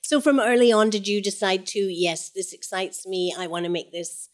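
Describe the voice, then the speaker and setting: A woman's voice: mid-range voice, fairly even intonation, moderate pace. Lady host interviewing chefs, encouraging, keeps it moving. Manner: engaged, encouraging questions.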